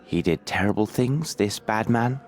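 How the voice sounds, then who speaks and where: A man playing a composed gentlemanly detective in a video game, formal, measured and courteous. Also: on the low side, soft background music, natural voice. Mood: gentle, coaxing. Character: composed gentlemanly detective disguised as a male nurse.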